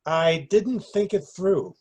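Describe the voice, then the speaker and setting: Casting: male actor for earnest, sheepish amateur raconteur, slightly high husky voice, readers theater comedy. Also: animated, slow pace, a little mellow, heavily acted. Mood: sheepish, unsure. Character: hapless, earnest man rehearsing a half-baked party anecdote.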